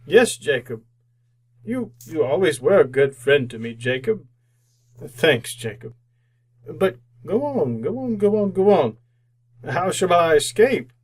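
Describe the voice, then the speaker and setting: An actor playing a frightened, querulous old miser in an old-time radio drama, hesitant and pleading, often repeating himself. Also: male voice, a little high, big pitch swings, slow, breathy edge, full character voice. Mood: anxious, placating.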